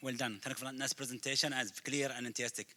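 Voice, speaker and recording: A man's voice: medium pitch, steady tone, rapid-fire, sharp tone. A man speaking as a jury member, putting a question to presenters.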